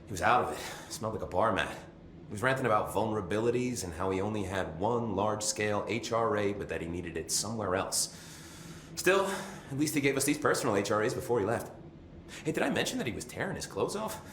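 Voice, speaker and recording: An actor playing a chatty, nervy, loyal maintenance security chief in a video game, rambling informally with wry swearing. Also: male voice, lowish voice, lively intonation, fast, a bit bright, reverberant, a little hiss or hum. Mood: wry, urgent.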